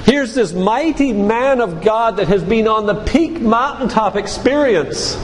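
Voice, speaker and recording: A man's voice: high-pitched, lively intonation, measured pace. An older man giving a sermon-like lecture, retelling Bible stories in a didactic way and addressing listeners directly. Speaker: physician giving a guest talk at a church. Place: large room, church. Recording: reverberant hall with clear background noise.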